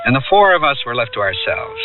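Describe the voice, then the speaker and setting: A man's voice: mid-range voice, very animated, fast, far from the mic, lightly acted. An actor playing a wistful ordinary man who looks back on a youthful summer holiday in reflective, conversational radio drama narration. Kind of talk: 1970s radio drama first-person narration. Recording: muffled radio drama tape, music behind.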